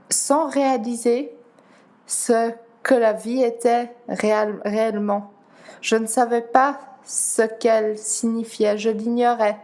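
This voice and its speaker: Slow, halting young woman with high voice. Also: dark tone. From Geneva, Switzerland. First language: Dutch. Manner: slow, halting, many pauses and repeated words. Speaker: guest, para-athlete. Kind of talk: interview answers.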